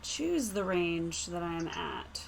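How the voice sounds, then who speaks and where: A woman's voice: lowish voice, sing-song, takes it slowly, vocal fry, poor audio. A woman playing a tabletop roleplaying game, talking casually with the group. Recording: faint background noise.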